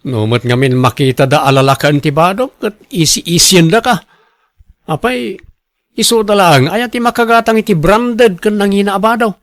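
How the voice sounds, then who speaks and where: A stubborn, image-conscious family man acted by a man in a radio drama. His voice sits a little high for a man, with an Ilocano Filipino accent and a dry, close sound. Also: moderate pace, dry recording, natural voice. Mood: insistent, proud. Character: proud, image-conscious husband and father, defensive and stubborn.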